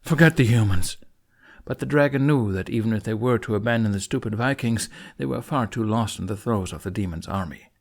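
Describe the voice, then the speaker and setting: A man's voice: fairly low pitch, a little fast, creaky, few pauses, darkish tone. A man reading a fantasy audiobook as narrator, in dramatic scripted narration.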